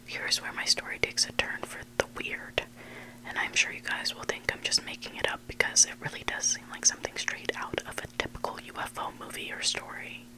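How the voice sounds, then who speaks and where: Bright, breathy ASMR story whisper. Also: poor audio. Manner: hushed, unvoiced whispering throughout. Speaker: ASMR host reading listener-submitted stories. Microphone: close microphone made for headphone listening.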